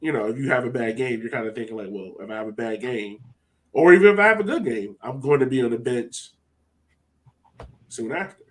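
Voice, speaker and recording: A man's voice: mid-range voice, lively intonation, brisk, fairly bright tone, low-quality recording. Man giving opinions in casual chat. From United States. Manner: opinionated, conversational. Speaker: podcast host.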